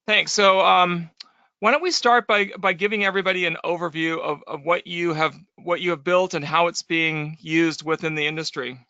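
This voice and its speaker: Guy hosting a business webinar, highish crisp voice, dry but kinda lo-fi sound. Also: fairly even intonation, moderate pace, pushed and bright, no echo. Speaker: host. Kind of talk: webinar interview, scripted introduction then conversation. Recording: slightly dull, band-limited webinar audio.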